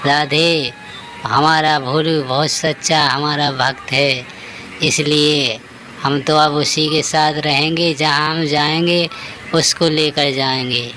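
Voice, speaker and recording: A man voicing an earnest character in a devotional cartoon tale, speaking deliberately and respectfully. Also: fairly high pitch, steady tone, sharp tone, slight background noise. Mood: concerned, tender. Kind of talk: cartoon story dialogue.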